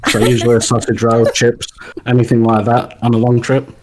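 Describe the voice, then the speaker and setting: Australian man, deadpan jokes, mid-pitch voice, laid-back podcast guest. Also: brisk, background noise. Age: adult, married. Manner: dry, deadpan humor. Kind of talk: casual podcast chat.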